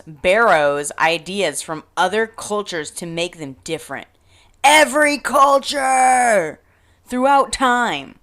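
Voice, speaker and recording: A woman voicing a dismissive, ranting social media commenter in an emphatic, drawn-out, mocking way for a podcast table read. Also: mid-range voice, fairly bright tone. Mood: shouting, exasperated. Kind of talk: acted table read of internet drama.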